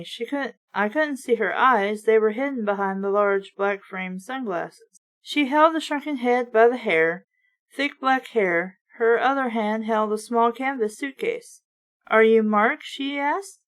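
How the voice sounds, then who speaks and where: A woman reading a children's horror book aloud in an animated way, breaking off for casual, wry, nitpicking comments. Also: on the high side. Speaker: reader and commentator.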